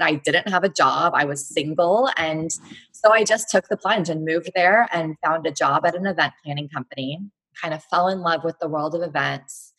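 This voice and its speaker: A woman in her thirties from Texas speaks low and a touch husky. She tells her story reflectively and at ease, with easy fillers and pauses as she thinks. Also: a bit bright, low-quality recording. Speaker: guest, blogger and entrepreneur. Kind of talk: conversational interview.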